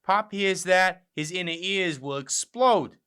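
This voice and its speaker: An actor playing an irritable, sarcastic adult brother who bickers indignantly in a comedic radio play. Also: male voice, high-pitched, a little slow, sharp tone, clean tone. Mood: exasperated, threatening. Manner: exasperated, sarcastic.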